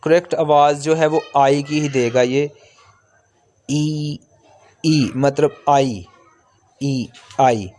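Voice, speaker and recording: A man's voice: fairly high pitch, darkish tone. A man giving an instructional language lesson, explaining step by step, repeating himself and sounding out vowels at length. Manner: repetitive, step-by-step explaining with drawn-out sample sounds. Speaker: language teacher.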